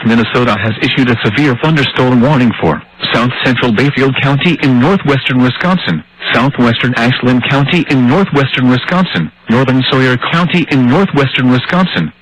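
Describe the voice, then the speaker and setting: Computerized man's voice, mid-range pitch, scripted warning readout, impersonal and measured, noisy radio broadcast with hiss. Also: a bit bright. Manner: synthetic, measured, impersonal delivery. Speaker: automated weather radio announcer. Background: radio static and hiss.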